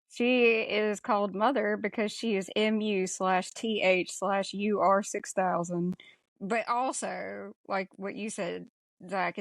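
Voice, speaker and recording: Animated-sounding woman podcaster, close dry sound. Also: medium pitch, creaky, no echo. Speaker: podcast co-host. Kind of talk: casual movie discussion.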